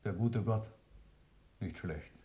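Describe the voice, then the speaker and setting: A man's voice: fairly low pitch, slow pace, warm tone. A male actor playing a sly, proud old man under interrogation in a German radio play, speaking in a sardonic, condescending, theatrical way. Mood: wry, guarded. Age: older.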